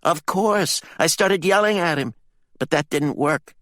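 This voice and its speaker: A man doing a high, urgent male companion. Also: a little slow, fairly bright tone, dry recording, clean studio sound. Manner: urgent, breathless retelling.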